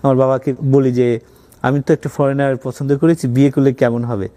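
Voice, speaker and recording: A man's voice: mid-range voice, level tone, fairly quick, mellow. A man with a Bangladeshi accent telling his own story conversationally as an interview guest, mixing in English words. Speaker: interview guest, college owner.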